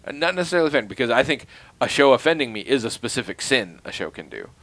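A man's voice: mid-range voice, big pitch swings, quick pace, a bit bright, no room sound. A man co-hosting a podcast in casual chat, playful and analytical, laughing often. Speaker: podcast co-host.

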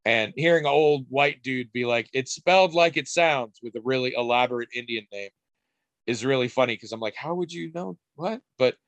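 Candid rambling podcast host, dry sound. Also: male voice, medium pitch, normal speed, fairly bright tone, no echo. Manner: rambling, candid, swears casually. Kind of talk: casual chat.

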